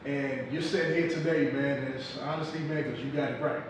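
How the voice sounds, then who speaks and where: A man's voice: medium pitch, steady tone, a little fast. A man hosting an interview, talking to his guest in casual, brotherly slang. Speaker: interviewer host. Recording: echoey room, far from mic, light noise.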